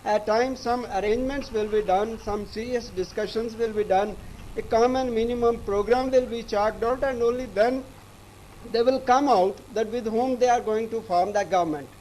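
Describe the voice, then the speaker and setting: Indian guy reporter, high voice, stop-start live report, faint noise behind him. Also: fairly even intonation, darkish tone, low-quality recording. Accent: Indian English. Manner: halting, repetitive delivery. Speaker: news correspondent.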